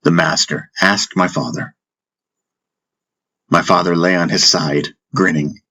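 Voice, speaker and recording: A man reads fantasy fiction in a deep, slightly husky voice. His scripted narration moves slowly and deliberately. Music plays underneath. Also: fairly even intonation, bright, forceful tone. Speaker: audiobook narrator. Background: background music.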